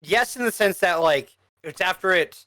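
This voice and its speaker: A man co-hosting a casual film review, explaining things in a halting, conversational way. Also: high-pitched, animated, sharp tone, no echo, clean studio sound. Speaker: podcast co-host reviewer.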